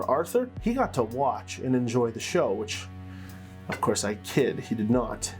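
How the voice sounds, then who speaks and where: A man tells a dramatic fantasy story as a game master, slipping into casual asides. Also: mid-range voice. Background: soft plucked-string music.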